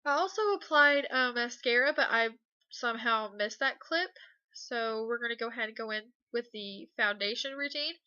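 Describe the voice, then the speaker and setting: Congested young woman, bright casual voice. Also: fairly high pitch, moderate pace, clean tone, dry recording, dull audio. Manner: relaxed, hesitant, many ums and uhs. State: congested from a cold. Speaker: beauty vlogger. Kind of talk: casual makeup tutorial voice-over.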